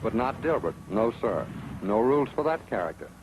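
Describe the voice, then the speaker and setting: A man's voice: fairly low pitch, very animated, fairly quick, heavy background noise. A man narrating a 1940s training film in an authoritative, sardonic manner. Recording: old 1940s film soundtrack with music.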